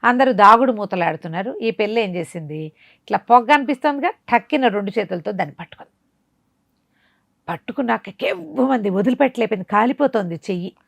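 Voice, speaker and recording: Woman telling a kids bedtime story, dramatic n chatty, asks questions as she goes, mid pitch, dry sound. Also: lively intonation, fairly quick, creaky, no room sound. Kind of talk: animated oral storytelling, conversational bedtime tale.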